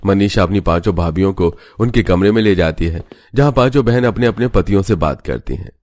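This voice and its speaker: A man's voice: sits low, animated, a little fast, a little mellow. A man narrating a scripted cartoon family story as the storyteller.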